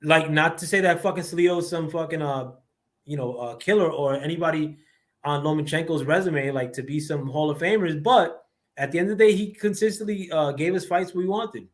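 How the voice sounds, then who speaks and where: Casting: man with a squeaky, slightly high voice, livestream host, rambling profane rant, heavy on fillers. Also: fairly quick. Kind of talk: casual boxing rant.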